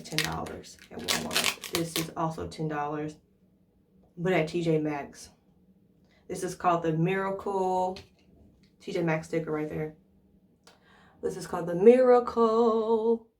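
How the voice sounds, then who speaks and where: An American woman chatting casually to viewers in an informal tutorial, thinking aloud as she demonstrates. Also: low voice. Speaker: hair care vlogger. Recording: roomy echo, distant from mic.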